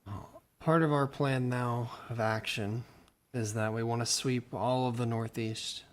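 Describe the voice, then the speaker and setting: A man talking in character during a survival roleplay game, laying out a plan with hesitant pauses. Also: mid-range voice, fairly even intonation. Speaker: roleplay player.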